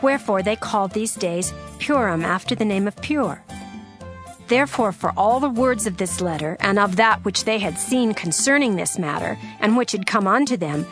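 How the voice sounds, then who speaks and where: Female narrator, steady formal scripture reading, soft music bed. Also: mid-range voice, moderate pace.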